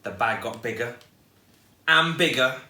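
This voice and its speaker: A man reading a children's story aloud in an expressive storytelling style. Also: mid-range voice, a little slow. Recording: echoey room, distant microphone.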